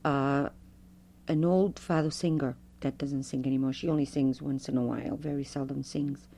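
Low-voiced woman Fado singer, lively storyteller. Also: moderate pace, gravelly. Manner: animated storyteller, strong loud voice. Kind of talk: oral history interview.

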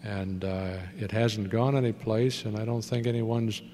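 A man, an airport official, answering questions at a public hearing in a measured, hesitant way. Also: lowish voice, fairly even intonation, mellow. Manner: measured, hesitant, with fillers and restarts. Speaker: port authority official. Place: large meeting hall. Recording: echoey hall with faint hum.

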